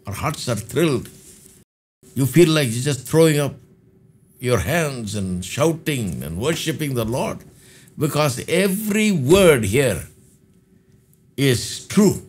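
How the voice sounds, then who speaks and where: An older man with an Indian accent preaching a sermon to camera from a studio, speaking slowly and expressively with repeated phrases. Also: medium pitch, very animated, slightly rough. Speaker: pastor. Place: studio with dark backdrop, indoors.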